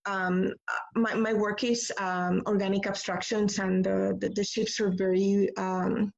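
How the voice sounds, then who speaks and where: A woman from Puerto Rico, a visual artist, speaking about her work in a halting way with many pauses and restarts. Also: medium pitch, level tone, creaky. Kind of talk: hesitant artist talk. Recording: slightly dull lo-fi audio.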